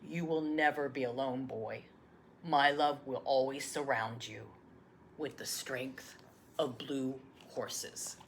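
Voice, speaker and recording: A woman voicing a gentle, loving old grandfather in a solemn, tender storybook read-aloud. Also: extremely low pitch, fairly even intonation, slow, a little hiss or hum, voice pushed down, full character voice.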